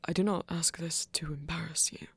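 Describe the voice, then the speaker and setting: A woman playing a refined, flirty male vampire with a breathy voice and formal, careful phrasing in an intimate audio roleplay. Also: low voice, steady tone, normal speed, no echo, full character voice. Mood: careful, concerned. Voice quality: breathy, whispery. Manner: formal, careful diction without contractions, gently teasing.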